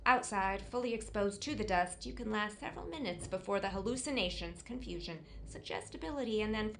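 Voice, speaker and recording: A woman playing an anxious, methodical survivor in a bunker for an audio drama, with a deliberate way of speaking. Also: mid-range voice, expressive, vocal fry, slight background noise. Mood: grave, measured.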